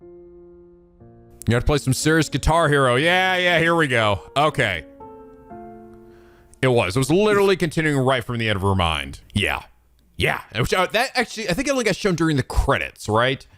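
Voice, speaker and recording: A man's voice: on the high side, sing-song, normal speed, pauses often, fairly bright tone, no room sound, soft background music. A man giving casual, profane reaction commentary as a let's-play host, blunt and joking.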